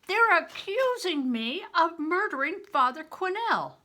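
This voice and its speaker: Woman as an anxious boy, bright and urgent. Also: very high voice, big pitch swings, a little slow, voice pushed up, heavily acted. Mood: alarmed, urgent. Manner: urgent, questioning. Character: anxious, earnest, wide-eyed orphan boy on the run.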